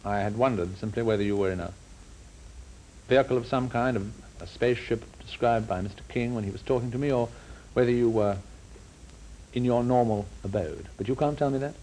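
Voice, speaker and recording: A man interviewing formally on a 1950s broadcast, with measured, deliberate and probing questions. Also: medium pitch, darkish tone. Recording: noisy 1950s broadcast recording.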